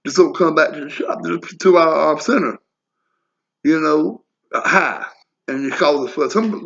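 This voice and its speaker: A man's voice: fairly high pitch, gravelly. A man in his forties talking bluntly and profanely in a rambling personal monologue, drifting into asides. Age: 40s. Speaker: vlogger. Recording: lo-fi muffled audio.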